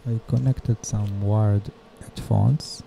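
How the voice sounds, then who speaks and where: A man gives a scripted how-to narration at a very slow pace. His voice sits on the low side and has a heavy creak. He moves plainly from one step to the next. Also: fairly even intonation, warm tone, a little hiss or hum, poor audio. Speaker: DIY tutorial narrator. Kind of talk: scripted instructional voice-over.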